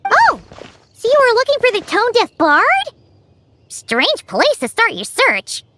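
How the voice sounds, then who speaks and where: A woman voicing a small, cheeky, excitable fairy companion with a childlike, exclamatory way of talking in a video game. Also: extremely high pitch, unhurried, a little hiss or hum, heavily acted. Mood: cheeky, teasing. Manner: speaks of self in third person, exclamatory. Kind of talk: video game character dialogue.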